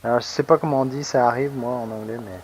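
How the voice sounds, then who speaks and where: A man's voice: medium pitch, fairly even intonation, fast, a little mellow, slight background noise. A man hosting a let's play, giving casual, rambling commentary full of hesitations and restarts as he plays.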